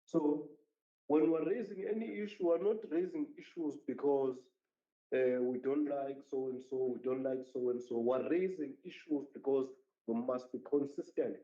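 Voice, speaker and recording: Male councillor, very dark tone, forceful repetitive speech, roomy dull chamber sound. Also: medium pitch, fairly even intonation, unhurried. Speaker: municipal councillor.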